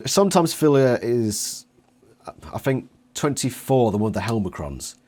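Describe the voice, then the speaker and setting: A man's voice: medium pitch, sing-song, moderate pace, a little mellow. A man chatting casually about books as an interviewer.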